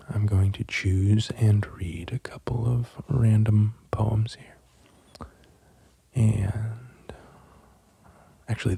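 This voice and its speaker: A soft-spoken man in an ASMR style, with a very dark, mellow and breathy voice full of gravelly fry. He goes gently and slowly, often slipping toward a whisper, close to the mic. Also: on the low side, dry recording. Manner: gentle, unhurried, halting with long pauses. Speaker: host of an ASMR channel. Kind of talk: soft-spoken ASMR, musing and poem reading. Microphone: close microphone.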